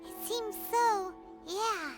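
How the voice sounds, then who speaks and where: A woman voicing a tiny, childlike fairy companion in a video game, squeaky, cute and earnest, with expressive drawn-out delivery. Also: heavily acted. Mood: hesitant. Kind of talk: cartoonish video game voice acting. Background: game music.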